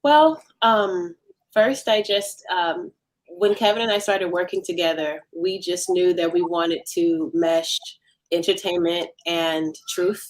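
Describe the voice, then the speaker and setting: Woman with a slightly low voice. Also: low-quality recording. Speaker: playwright and actor, interview guest. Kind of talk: interview answer, casual storytelling.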